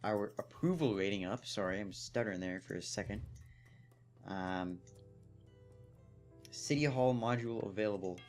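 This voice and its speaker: A young man giving casual gameplay commentary, thinking aloud with fillers, his voice a little hoarse. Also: on the low side, normal speed, long pauses, faint music behind. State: slightly hoarse. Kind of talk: casual let's play commentary.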